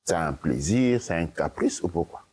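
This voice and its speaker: A man's voice: deep voice, lively intonation, moderate pace, a little mellow, slightly rough. A man giving lecturing interview answers, emphatic and repetitive, often checking with "ok?". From Italy. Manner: emphatic, repeats his points, checks with "ok?". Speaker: guest speaker.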